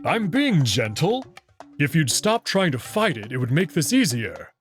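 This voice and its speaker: A man's voice: a little high, wildly swooping pitch, a little slow, soft background music. A man voicing a big, young male alien wolf, caring and playful, in a visual novel. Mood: playful, teasing. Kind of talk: visual novel character dub.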